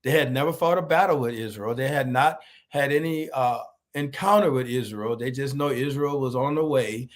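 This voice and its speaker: A male pastor with a hoarse, slightly nasal voice from a cold, giving an online sermon in a slow, halting way and greeting viewers by name. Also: medium pitch.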